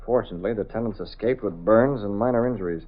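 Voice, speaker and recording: Casting: man as cool, commanding gentleman vigilante, lowish voice, clipped radio-serial delivery, thin vintage sound. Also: quick pace, lo-fi sound, lightly acted. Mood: measured, resolute. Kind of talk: 1930s-40s radio drama acting. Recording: thin band-limited vintage radio recording.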